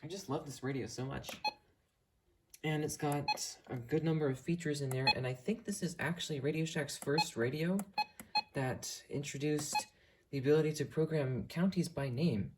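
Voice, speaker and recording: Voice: mid-range voice, moderate pace, heavy background noise. A person with an earnest, rambling, enthusiastic way of talking, giving a casual tour of a hobby collection. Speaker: hobbyist video creator showing a radio collection.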